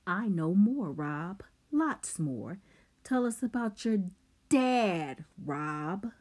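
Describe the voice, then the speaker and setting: A woman's voice: fairly low pitch, sing-song, unhurried, dark tone. A woman voicing a clever, scheming teenage boy who talks back coldly. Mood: defiant.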